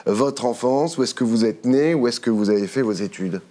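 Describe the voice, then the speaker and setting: A man in the host's chair of a studio interview, asking questions at an easy pace. He hesitates often and fills gaps with small sounds, keeping things loose and friendly. His voice sits at an ordinary middle pitch for a man. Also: normal speed. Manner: relaxed, hesitant with many fillers. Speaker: interviewer, show host.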